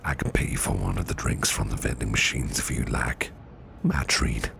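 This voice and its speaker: A man playing a caring, courteous businessman boss in an ASMR roleplay, speaking in a soft, soothing, half-whispered murmur. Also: extremely low pitch, normal speed, vocal fry, background noise, exaggerated voice. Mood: caring, reassuring. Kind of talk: ASMR personal attention roleplay.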